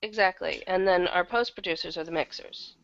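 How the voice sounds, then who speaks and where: Female interview guest, conversational. Gravelly fry, dry and slightly dull recording. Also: fairly low pitch, fairly quick, no echo. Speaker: guest, audio drama producer. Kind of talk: casual interview talk.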